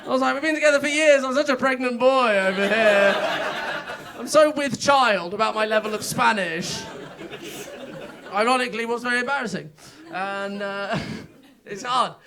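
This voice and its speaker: A man's voice: sits high, sharp tone. An Englishman, a young adult, doing a chatty, self-mocking stand-up set on a comedy club stage into a handheld mic, with plenty of filler words. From England.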